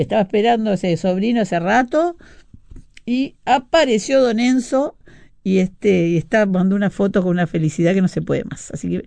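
A middle-aged Uruguayan woman hosts a relaxed radio show, chatting freely and reading listener messages with frequent fillers and little laughs. Her voice is dark and mellow, recorded dry and close with no room around it. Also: mid-range voice, no room sound. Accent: Uruguayan Spanish. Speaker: radio host.

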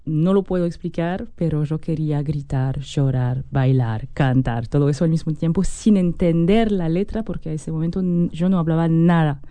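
A French woman speaking Spanish with a French accent as a musician guest in an interview, pausing and restarting as she searches for words. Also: sits low, dark tone, no echo. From France.

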